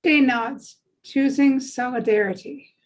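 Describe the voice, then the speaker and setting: A woman with a high voice narrates a screenplay's action lines. Her reading is slow and scripted. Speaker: stage directions reader.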